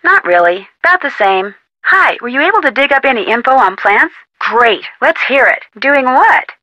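A woman's voice: sits high, expressive, normal speed, pushed and bright, no echo, low-quality recording. A young woman with an American accent playing a friendly, inquisitive amateur detective in an adventure game, chatty and polite. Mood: casual, friendly. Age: young adult. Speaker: video game character voice actor. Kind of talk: scripted adventure game dialogue, phone-call delivery.

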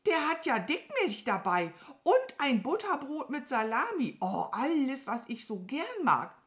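Woman voicing a high, fussy, excitable crow. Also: unhurried. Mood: sulky. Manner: agitated, scolding, stammers when alarmed. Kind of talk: children's storybook character voice.

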